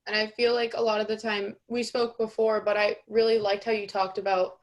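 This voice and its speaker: A young woman student asking questions in a relaxed, casual way, full of "like" and "you know". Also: mid-range voice, a little fast, highs cut off. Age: young adult. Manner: relaxed, filler-heavy, uses like and you know. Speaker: student interviewer. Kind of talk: casual panel conversation.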